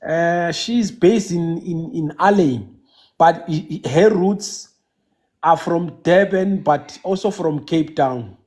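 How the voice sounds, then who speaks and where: A South African man whose first language is Xhosa, calling in to a casual live chat and talking haltingly, with many restarts and fillers. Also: fairly high pitch, a little mellow. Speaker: caller.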